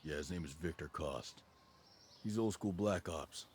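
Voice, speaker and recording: An actor playing a tough, blunt, no-nonsense special forces soldier in a video game cutscene. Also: male voice, low-pitched, unhurried. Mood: businesslike. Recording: hiss and rain behind voice.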